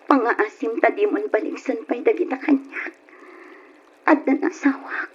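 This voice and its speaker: An Ilocano woman acting a self-sacrificing young woman torn by forbidden love in a radio drama, pleading in a breathy, emotional way. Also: a little high, measured pace, natural voice. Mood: distressed pleading. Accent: Filipino, Ilocano. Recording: muffled, noisy old radio drama recording.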